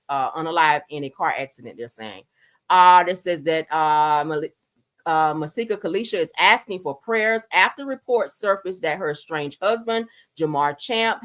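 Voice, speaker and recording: A woman's voice: low-pitched, fairly bright tone. A woman hosting a livestream, chatting casually over news clips and calling her viewers "child" and "honey". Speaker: livestream host.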